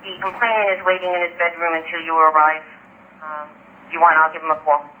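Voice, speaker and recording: A man's voice: on the high side, level tone, fairly quick. A man speaking in short dispatch exchanges over a police radio. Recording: tinny, narrow-band police scanner radio.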